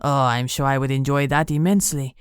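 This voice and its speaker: A woman voicing a cruel male werewolf captor who talks in a low, purring, menacing way. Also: steady tone, a little fast, clean tone, no room sound, studio quality.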